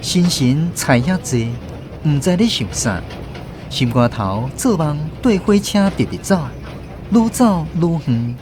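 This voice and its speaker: A man with a Taiwanese accent giving expressive scripted narration of a literary story for radio drama. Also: fairly high pitch, takes it slowly, noisy background.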